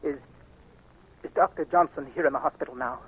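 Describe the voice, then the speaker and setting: A man's voice: a little high, fairly quick, slightly rough. An actor playing an anxious, haunted blind man in a tense, dramatic 1940s radio suspense play, also narrating in first person. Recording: dull, noisy 1940s radio recording.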